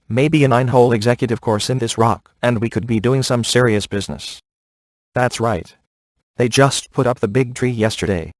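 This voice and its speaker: Smug, sarcastic male ghost hunter played by a male actor for a comedy video game; deadpan wisecracks, very dry studio sound. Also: mid-range voice, no room sound, natural voice.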